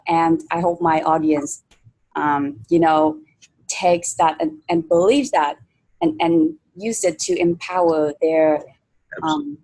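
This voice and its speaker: Slow-talking woman interviewer. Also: lowish voice, a little mellow, poor audio. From Vietnam. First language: Vietnamese. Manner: hesitant, many fillers. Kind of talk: conversational interview.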